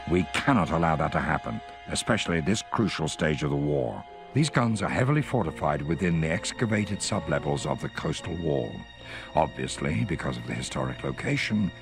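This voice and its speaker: An actor playing a grave, wry Allied intelligence officer giving a measured, authoritative mission briefing in a war video game. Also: male voice, low-pitched, gravelly, full character voice. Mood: businesslike, urgent. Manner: measured, authoritative, dryly contemptuous of the enemy. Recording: music under the voice.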